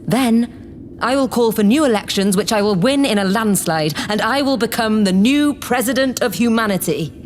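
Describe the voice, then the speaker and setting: An actress as a haughty, power-hungry female politician in an audio drama. Her grandiose, condescending delivery sweeps widely in pitch, over faint background noise. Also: animated, moderate pace, lightly acted. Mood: gloating, scheming. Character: imperious, scheming politician woman plotting to seize power. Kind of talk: theatrical audio drama acting.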